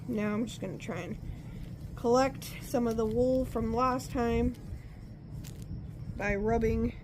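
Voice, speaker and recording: A woman's voice: fairly high pitch, slow, background noise. A woman with a stuffy nose from a cold, chatting casually and rambling as she works on a craft at home. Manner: chatty, rambling, self-interrupting. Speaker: crafting vlogger. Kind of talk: casual talk while crafting.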